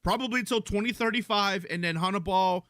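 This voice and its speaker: A man's voice: sits high, brisk, fairly bright tone, no echo, clean studio sound. A man talking through an argument casually, hesitating and repeating himself.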